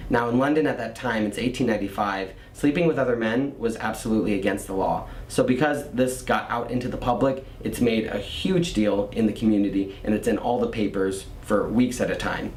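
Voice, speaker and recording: A man's voice: on the low side, darkish tone. A man introducing a script and scene as its screenwriter, on a theater stage. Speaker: screenwriter presenting their script. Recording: echoey theater with faint noise.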